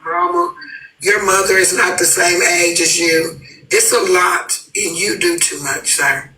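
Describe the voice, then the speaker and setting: A man's voice: high voice, lively intonation, unhurried, bright, forceful tone. A man on a live stream scolding someone in a blunt, dismissive way. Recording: roomy sound, far from mic, faint noise.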